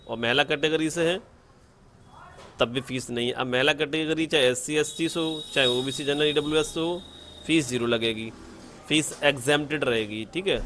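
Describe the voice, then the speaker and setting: A man's voice: medium pitch, a bit bright, slight background noise. A man narrates a step-by-step screen tutorial in an instructional way, mixing English terms into his Hindi and often checking in with an okay. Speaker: online tutorial presenter.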